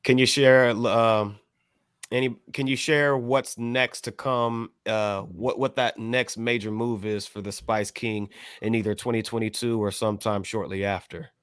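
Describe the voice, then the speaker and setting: Man, podcast interviewer, casual, medium pitch, wordy halting phrasing, dry recording. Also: steady tone, clean tone, dry recording. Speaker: podcast host interviewing a guest.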